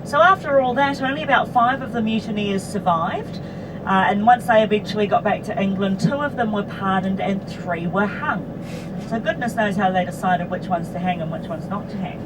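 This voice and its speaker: A woman's voice: medium pitch, normal speed. New Zealand woman guiding a bus tour. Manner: chatty storytelling with casual asides. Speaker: tour bus driver and guide. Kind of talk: guided tour commentary. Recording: distant voice under loud bus noise. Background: vehicle engine and road noise.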